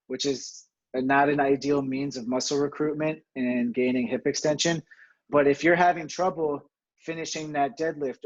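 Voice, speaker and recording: A man from New Jersey teaching a home exercise webinar from his home, chatty and self-deprecating, with a steady, fairly monotone delivery and filler words. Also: fairly high pitch, lo-fi sound. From New Jersey, USA. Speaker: physical therapy webinar presenter.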